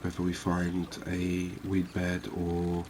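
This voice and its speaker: A man giving unhurried, murmured ASMR commentary as he hosts a fishing game series. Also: sits low, monotone, darkish tone, a little hiss or hum. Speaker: host of a gaming series.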